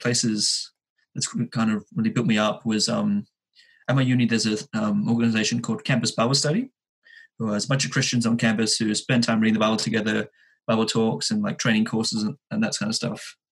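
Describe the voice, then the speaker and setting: A man in his twenties with a husky voice, chatting casually as a guest, hesitant and dryly joking. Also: on the low side, little pitch movement, brisk, rough recording. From Sydney, Australia. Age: 20s. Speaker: podcast guest, engineering student. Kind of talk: casual chat, telling his own story.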